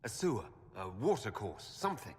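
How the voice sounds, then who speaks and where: A man's voice: lowish voice, sing-song, fairly quick, a little mellow, slight background noise. A man playing a resolute young hero in a video game, direct and earnest. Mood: determined. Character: resolute, questioning young male hero and envoy.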